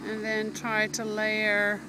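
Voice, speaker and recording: A woman's voice: medium pitch, monotone, slow pace. Chatty woman filming outdoors by a digger. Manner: chatty, many fillers and "you know". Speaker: homeowner filming renovation. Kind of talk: casual narration while filming. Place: outdoors at a building site. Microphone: phone camera held by the narrator. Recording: outdoor phone video with loud machinery. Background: digger engine noise.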